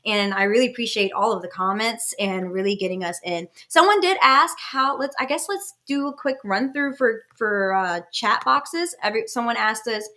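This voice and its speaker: A young woman in her twenties hosts a casual live podcast over a video call. Her voice sits fairly high and sounds bright and forceful. She rambles chattily with restarts and fillers. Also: expressive, moderate pace, hardly any pauses. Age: 20s. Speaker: podcast host. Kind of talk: casual live-stream chat.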